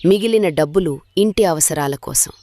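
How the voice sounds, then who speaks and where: Woman acting a low-voiced, bossy mother-in-law bird. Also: normal speed, warm tone, natural voice. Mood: harsh, scolding. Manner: scolding, bossy. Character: greedy, domineering older mother-in-law bird. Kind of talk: children's animated story dialogue.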